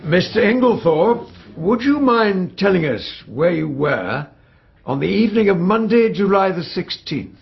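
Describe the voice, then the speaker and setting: An actor with a British accent playing a stern, formal coroner questioning witnesses in a measured, probing way in a radio drama. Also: male voice, high-pitched, big pitch swings, creaky, heavily acted. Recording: dull, band-limited radio drama recording.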